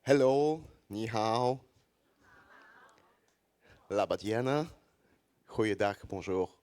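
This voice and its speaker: A man from Belgium whose first language is French, giving a measured conference presentation in English with a Belgian French accent and frequent pauses. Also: on the low side, lively intonation, a little mellow. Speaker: conference speaker, journalist.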